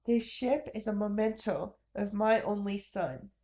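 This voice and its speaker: Woman acting middle-aged man, embittered war veteran, downhearted, unhurried delivery, storybook reading. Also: medium pitch, warm tone, close to an everyday voice. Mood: sorrowful. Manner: weary, downhearted, plain-spoken.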